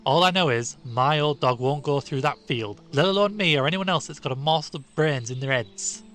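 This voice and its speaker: A man voicing an old, superstitious country shepherd who talks in broad rustic dialect, louder and slightly higher than his own voice. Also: normal speed, soft background music, natural voice. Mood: grave warning.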